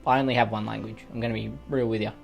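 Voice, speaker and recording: A man's voice: on the low side, little pitch movement, very fast, creaky, soft background music. A man with an Australian accent reading out online posts and riffing on them with profane, sarcastic, rambling comedic asides. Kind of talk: comedic commentary on read-out posts.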